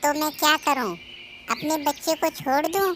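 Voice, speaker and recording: A performer voicing a gentle, protective mother sparrow in a cartoon moral story, worried and pleading. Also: sits high, moderate pace, a little hiss or hum. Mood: firm, hurt.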